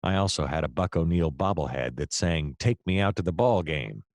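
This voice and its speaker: A hapless American private eye from a noir radio play, acted by a man, with a deep voice that swings widely in pitch. He is wry and deadpan, easily flustered, and recorded close and dry. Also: moderate pace, dry recording. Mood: wry, brooding. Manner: wry, deadpan narration, hesitant and flustered in dialogue. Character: hapless, wry male private detective narrating his case. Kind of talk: noir detective narration and dialogue in an audio play.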